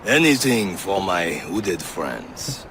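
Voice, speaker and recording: Courtly informant, lowish voice, very slow and measured, noisy background. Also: male voice, very animated, exaggerated voice. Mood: genial, sly. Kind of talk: video game cutscene acting. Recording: clear outdoor ambient noise.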